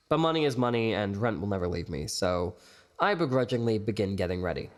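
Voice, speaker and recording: A man's voice: mid-range voice, background noise, natural voice. A man playing a weary, glamorous performer who narrates his own story in an audio drama with sardonic, theatrical grumbling. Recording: music behind the voice.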